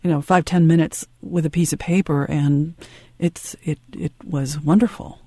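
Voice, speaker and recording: A man hosts a call-in radio show in a dry studio. His voice is mellow and a bit high, and he speaks haltingly, repeating words. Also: steady tone, no room sound. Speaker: radio host.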